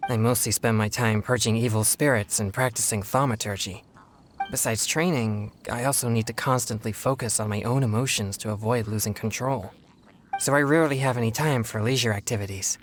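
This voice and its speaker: An actor playing an earnest, polite young exorcist in a video game, speaking in a formal, measured, self-controlled way. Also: male voice, on the low side, fairly even intonation, slight background noise, close to an everyday voice. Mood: earnest, pensive.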